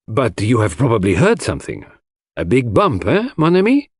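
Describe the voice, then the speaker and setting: A man voicing a fussy, excitable, vain foreign detective who speaks emphatically in an audiobook mystery. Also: medium pitch, moderate pace, slightly rough, no room sound, clean studio sound, full character voice. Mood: vexed. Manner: emphatic, repeats words, French phrases sprinkled in.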